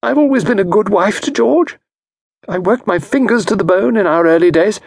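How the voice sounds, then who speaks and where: A man's voice: high-pitched, creaky, slightly rough, dry recording, studio quality, pitched above the performer's own voice, exaggerated voice. A man voicing an aggrieved middle-aged wife, bursting out with jealous complaints. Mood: miserable. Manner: rushed, complaining outbursts.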